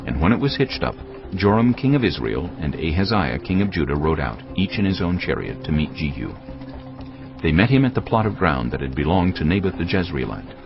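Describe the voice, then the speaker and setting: A man's voice: low voice, sing-song, a little fast. A man narrating a dramatised audio Bible as a scripted, dramatic reading. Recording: dull lo-fi audio, music bed.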